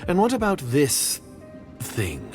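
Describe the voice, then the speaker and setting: A man's voice: fairly high pitch, huge pitch swings, takes it slowly, heavily acted. A man playing a curt, calculating covert agent in a video game, guarded and condescending. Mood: suspicious. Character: suspicious, curt male covert agent, coldly calculating villain. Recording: music behind the voice.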